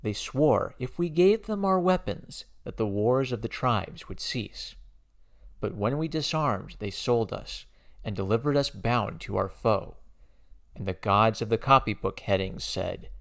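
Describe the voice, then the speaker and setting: Man, lowish pitch, very creaky gravelly tone, theatrical verse reader, deliberate emphatic phrasing. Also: lively intonation, a bit bright. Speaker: poetry reader. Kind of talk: dramatic poetry recitation.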